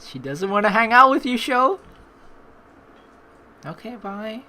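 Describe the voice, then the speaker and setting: Woman gamer, slightly low bright voice, lively intonation, rambling casual commentary, reads text aloud, faint game music. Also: takes it slowly. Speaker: let's player.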